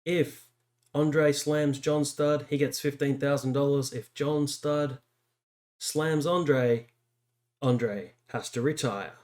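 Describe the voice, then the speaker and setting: A man's voice: fairly high pitch, fairly even intonation, slow pace. A man giving casual, informal commentary on his video game playthrough, with rambling asides. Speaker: gamer narrating a playthrough. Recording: loud game noise behind voice. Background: wrestling game audio.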